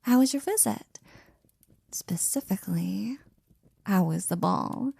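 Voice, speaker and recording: A woman playing a flirty, devoted maid in an intimate ASMR roleplay, breathy and often near a whisper, with a teasing, affectionate manner. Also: mid-range voice, animated, mellow, no room sound, heavily acted. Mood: concerned, apologetic. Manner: teasing, affectionate, slow and drawn out.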